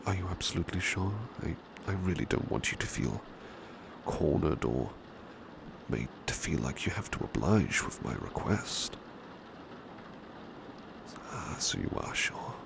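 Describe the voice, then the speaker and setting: Man as gentle British butler, ASMR roleplay, unhurried hushed delivery, courteous and formal, lilting intonation, clear background noise of rain. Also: extremely low pitch, very animated, heavily acted. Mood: tender, reassuring. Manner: formal, courteous, hushed and hesitant, with long pauses. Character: devoted, gentle, protective male butler of an estate. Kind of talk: ASMR roleplay, soft intimate speech slipping into whispers.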